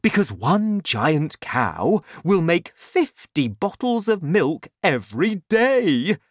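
A woman voicing an excitable, bossy middle-aged father in a children's storybook, exclaiming emphatically and insistently. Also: extremely low pitch, drawn-out words, dry recording, clean studio sound, exaggerated voice. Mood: excited, insistent.

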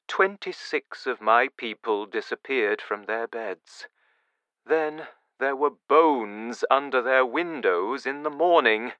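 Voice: mid-range voice, bright, forceful tone, no echo, lo-fi sound. A reader voicing an earnest, troubled grown man, the King of a country in a storybook.

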